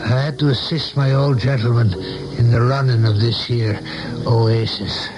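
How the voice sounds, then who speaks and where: An actor in a 1970s radio play, playing an older saloon keeper who is folksy, shrewd and philosophical with a loyal friend. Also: male voice, mid-range voice, steady tone, normal speed, lightly acted. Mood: folksy, probing. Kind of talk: 1970s radio drama acting. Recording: dull vintage radio broadcast, music behind.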